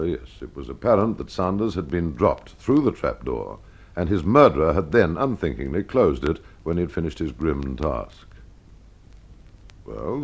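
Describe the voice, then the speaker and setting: Very deep wry radio mystery narrator. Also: male voice. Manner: genial, wry storyteller addressing the listener. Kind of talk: 1950s radio storytelling.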